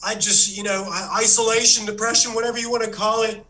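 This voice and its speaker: A man's voice: level tone, fast talker, bright, forceful tone, rough recording. A man putting on an earnest Australian blowhard. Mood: tearful. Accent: put-on Australian. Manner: rambling, earnest, self-pitying pitch. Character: boastful washed-up Australian man, pitching. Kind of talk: comedic monologue.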